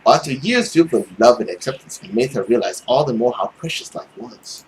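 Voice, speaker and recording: A young man narrates a visual novel aloud for a gaming video. His reading is animated and dramatic, with casual asides. He is recorded on a headset indoors with faint background noise. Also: mid-range voice, brisk, low-quality recording. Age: young adult.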